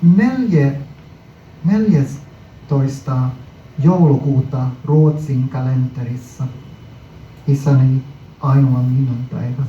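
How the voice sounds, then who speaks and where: A man reading his own poem at a live indoor performance, deliberately and in short phrases with frequent pauses. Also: medium pitch, mellow, echoey room. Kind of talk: poetry recital, performance reading. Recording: muffled, noisy live recording from far off.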